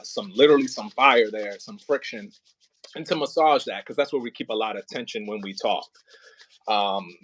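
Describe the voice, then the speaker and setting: A man leading a voice warm-up as a coach, explaining casually and haltingly with plenty of filler words. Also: medium pitch. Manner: informal, halting explanations with many "like" and "kinda" hedges. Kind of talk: casual hands-on coaching over a video call.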